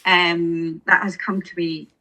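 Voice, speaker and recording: A woman's voice: on the low side, flat delivery, slow, pushed and bright. A woman with an Estonian accent, an author speaking as an interview guest, earnest and searching for words with many restarts. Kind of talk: reflective interview conversation.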